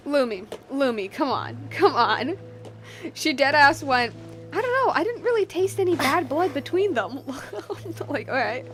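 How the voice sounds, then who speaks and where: A young woman doing casual live game reactions, talking in an animated, slangy, rambling way as a streamer. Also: high-pitched, a little slow, soft background music.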